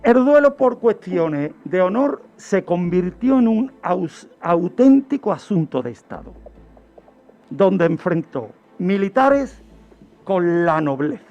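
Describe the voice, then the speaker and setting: A man's voice: high voice, big pitch swings, slow pace, darkish tone. A man tells true-crime history on the radio, emphatic and explanatory, asking his own questions as he goes. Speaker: criminologist, regular true-crime narrator on a radio show. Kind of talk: storytelling radio commentary. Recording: dull lo-fi radio audio with music.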